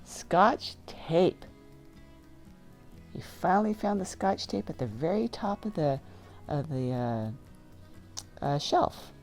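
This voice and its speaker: Casting: female storybook reader, low register, unhurried and lively, with chatty off-the-cuff asides. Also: soft background music. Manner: informal, commenting on the story as she reads. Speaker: children's book reader. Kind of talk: read-aloud storytelling with casual asides.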